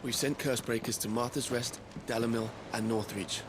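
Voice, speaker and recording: An actor playing a sober, matter-of-fact resistance organiser in a fantasy game. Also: male voice, medium pitch, steady tone, a little fast, over music, natural voice. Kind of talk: scripted fantasy game dialogue.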